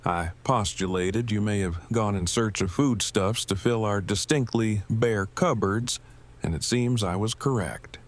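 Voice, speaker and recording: A man plays an awkward, brainy male survivor in an audio drama. The voice is on the low side. Delivery is deadpan and stiffly formal, with wordy, elaborate phrasing. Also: a little slow, natural voice. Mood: earnest, formal.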